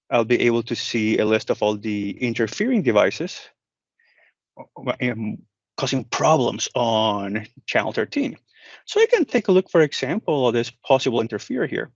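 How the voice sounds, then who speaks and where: A man's voice: medium pitch, dry recording, highs cut off. A man giving a conversational product walk-through, explaining each step directly to the viewer. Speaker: product manager presenting a product demo. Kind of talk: software walk-through tutorial.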